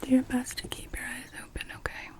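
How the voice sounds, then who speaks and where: A woman performs ASMR as an optometrist in a soft, breathy whisper with a mellow tone. She talks gently and without hurry, explaining each clinical step as she goes. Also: a little fast. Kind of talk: ASMR medical roleplay.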